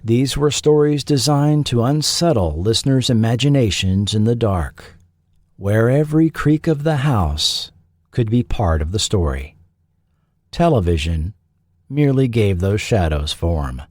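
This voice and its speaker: A man narrates a scripted documentary like a dramatic storyteller. He speaks at an unhurried, measured pace with a lively, widely moving pitch. The sound is dry, with no room around the voice. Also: no echo. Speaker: podcast host and narrator.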